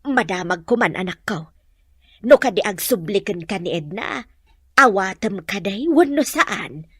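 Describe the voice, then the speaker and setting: A woman's voice: medium pitch, animated, measured pace, husky, dry recording, studio quality. An actress playing a concerned, nagging mother of a grown son in a radio drama, insistently questioning.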